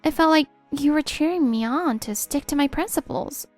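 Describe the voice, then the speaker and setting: A woman playing a sincere, gentle young restaurant employee in a manga drama. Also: high-pitched, normal speed, natural voice. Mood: wistful, tender. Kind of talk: manga voice acting. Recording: music behind the voice.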